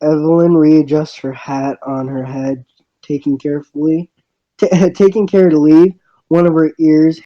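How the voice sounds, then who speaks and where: A man playing a bewildered young male student in a visual novel dub, narrating and speaking with a halting, hesitant delivery. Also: on the high side, fairly even intonation, dry recording, dull audio, natural voice. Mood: curious narrating.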